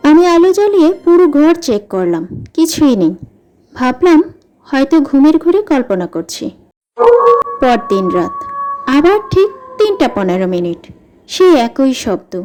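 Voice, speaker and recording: Female horror narrator: high, mellow tone, suspenseful scripted delivery. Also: low-quality recording. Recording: music behind the voice. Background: background music.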